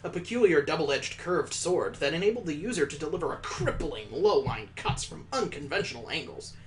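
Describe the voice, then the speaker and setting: Man putting on a stately, formal old elf gentleman. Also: on the high side, animated, fairly quick, soft background music, lightly acted. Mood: solemn. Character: formal elderly male elf mentor and servant, courteous.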